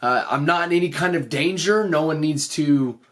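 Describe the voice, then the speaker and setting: Higher-pitched man, earnest podcast host. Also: moderate pace, a bit bright. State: voice slightly off after losing it. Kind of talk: motivational podcast talk.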